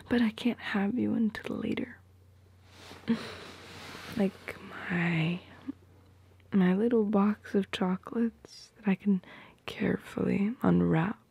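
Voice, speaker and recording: A woman acts out a tender, playfully jealous girlfriend in an intimate ASMR roleplay. Her voice is dark and husky, drifting into whispers with hesitant pauses. She speaks slowly and teasingly, very close to the microphone. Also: medium pitch, exaggerated voice. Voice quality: soft, breathy, often slipping into whispers.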